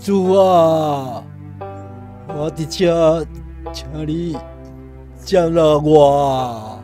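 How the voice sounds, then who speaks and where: An actor playing an old, quick-tempered father in a radio drama, with a Taiwanese accent. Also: on the high side, warm tone, soft background music. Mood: weak, praying. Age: older.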